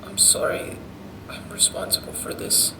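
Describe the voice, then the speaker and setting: A woman voicing a remorseful, worn-out quarantine officer in a visual novel, hushed and sorrowful. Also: measured pace, echoey room, in a whisper, far from the mic, natural voice, rough recording. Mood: sorrowful, guilty. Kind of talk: whispered voice acting of visual novel text.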